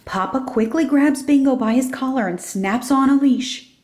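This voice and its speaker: Mellow, high-voiced woman reading a storybook. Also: normal speed. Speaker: storybook reader. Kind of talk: children's story read aloud.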